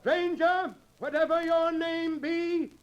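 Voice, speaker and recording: An actor playing an officious contest herald, calling out orders in old radio drama style. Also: male voice, very high-pitched, steady tone. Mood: commanding. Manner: loud, drawn-out calling. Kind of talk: 1940s radio acting. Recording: dull old 1940s radio recording.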